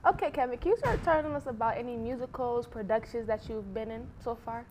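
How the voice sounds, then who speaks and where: A woman's voice: on the high side, fast, darkish tone, a little hiss or hum, low-quality recording. A teenage girl interviewing a classmate for a student news show, asking brief, direct questions.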